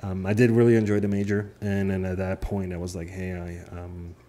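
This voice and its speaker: A Polish-born man in his thirties, a native Polish speaker, chatting easily as a podcast guest, laughing often and pausing on fillers. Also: deep voice, flat delivery, mellow. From Poland. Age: 30s. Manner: easygoing, laughs often, many filler pauses. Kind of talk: casual interview chat. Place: home.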